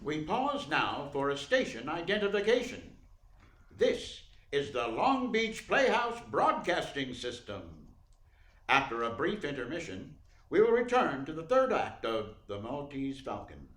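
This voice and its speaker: Male actor as 1940s announcer-narrator, formal, emphatic, slightly high voice, roomy sound. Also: big pitch swings, unhurried, fairly bright tone. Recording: echoey room.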